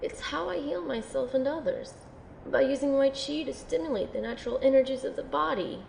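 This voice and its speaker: Wounded young female healer-soldier, played by a woman, audio drama. Highish, wry, informal, roomy recording with faint noise. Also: a little fast, creaky, darkish tone. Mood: questioning. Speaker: voice actor in an amateur audio drama. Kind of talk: acted dialogue in an audio drama.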